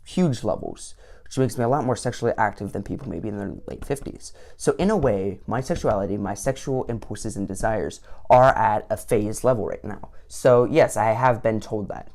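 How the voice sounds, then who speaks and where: A man's voice: lowish voice, moderate pace, creaky, a little mellow. A teenage boy giving sarcastic commentary on an online quiz, with mock-indignant outbursts. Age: late teens. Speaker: vlogger.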